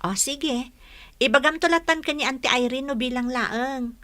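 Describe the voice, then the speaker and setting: A woman's voice: high voice, normal speed, pushed and bright, no echo. A woman playing a friendly, chatty young student in a radio drama. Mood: friendly, curious. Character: friendly, chatty young female student working part-time.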